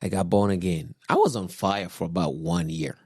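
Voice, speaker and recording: A man, calmly telling his personal faith story on a podcast, unhurried and reflective. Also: low-pitched, vocal fry, husky, no room sound, clean studio sound. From Kenya. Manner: unhurried, reflective, with soft chuckles. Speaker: podcast guest husband, pastor.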